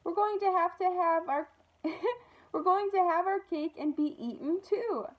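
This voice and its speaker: Woman reading as fretful high-pitched schoolboy. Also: a little slow, muffled recording. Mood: panicked. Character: anxious, gloomy schoolboy who expects disaster.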